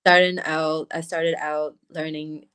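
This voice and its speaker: A woman with a low voice and a Mandarin accent speaks English as her second language. She talks in halting bursts, restarting and correcting herself often. She is heard through a laptop webcam on a video call. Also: level tone, fairly bright tone, smooth. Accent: Mandarin-influenced English. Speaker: brand founder, guest. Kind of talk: casual video-call interview.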